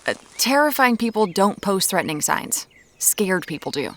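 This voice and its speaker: An actress playing a brisk, guarded woman amateur detective in an audio drama, deadpan and curt. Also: medium pitch, close to an everyday voice. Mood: composed, wary.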